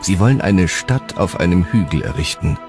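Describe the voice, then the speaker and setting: A man with a deep, low voice narrates a historical documentary. His delivery is scripted and dramatic, with music clearly playing underneath. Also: animated, moderate pace.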